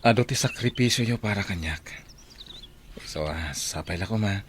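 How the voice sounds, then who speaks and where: Man acting low-voiced earnest young Ilocano man. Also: moderate pace, a little hiss or hum, lightly acted. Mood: tender, grateful. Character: earnest young man, devoted son in love with his friend. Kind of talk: Filipino radio drama acting.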